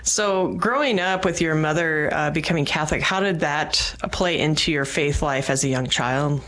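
A woman hosts a radio interview in a studio. Her voice sits slightly lower than most women's. She speaks as the interviewer, guiding a guest through questions. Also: normal speed, a bit bright.

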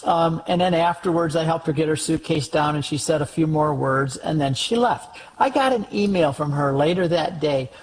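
A man's voice: on the high side, a little hiss or hum, poor audio. A man who is a guest on an interview show, telling a personal story and exhorting listeners in an earnest way. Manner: earnest, exhorting, slows down for emphasis.

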